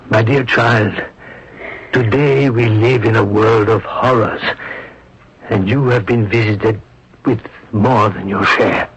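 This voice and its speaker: Slow, solemn fatherly priest. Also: lowish voice, fairly bright tone. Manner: solemn, measured, consoling. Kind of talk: 1980s radio drama acting. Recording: dull, noisy old radio recording.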